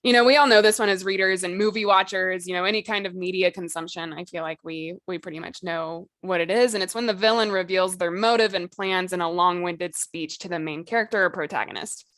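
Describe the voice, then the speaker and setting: A woman's voice: fairly low pitch, steady tone, brisk, hardly any pauses, fairly bright tone, no echo. A woman co-hosting a podcast, explaining things in an informal, chatty way with lots of casual asides. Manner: chatty, informal, peppers talk with "like" and "you know". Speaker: podcast co-host.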